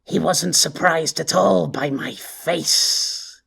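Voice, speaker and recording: A man voicing a scarred, bandaged man of few words with a shivering, raspy voice, speaking slowly. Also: a little high, fairly bright tone, full character voice. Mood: eerie.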